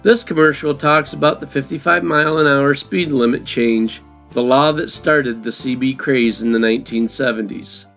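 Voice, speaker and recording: A man's voice: mid-range voice, fairly even intonation, normal speed, a bit bright, faint music behind. A man narrating as the host of a video in a casual, scripted style. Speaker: host introducing vintage commercials. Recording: dull lo-fi voice recording.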